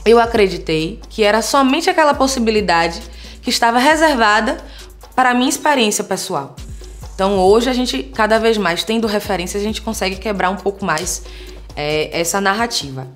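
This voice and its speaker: A woman's voice: medium pitch, lively intonation, normal speed, faint music behind. A woman in her thirties from Salvador with a Bahian Portuguese accent, talking earnestly and conversationally to her viewers, often checking in with them. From Salvador, Bahia, Brazil. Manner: warm, earnest, conversational, frequent tag questions. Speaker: vlogger, content creator. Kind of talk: personal vlog talk to viewers.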